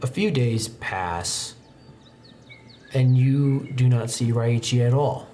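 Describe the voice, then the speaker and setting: A man's voice: mid-range voice, slow, background noise. A man working as game master, narrating a tabletop roleplaying game.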